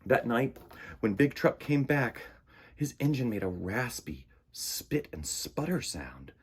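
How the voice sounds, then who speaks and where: A man's voice: mid-range voice, sing-song, slow, slightly rough. A man reading a picture book aloud to children with dramatic emphasis and stretched words. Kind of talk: animated children's story reading.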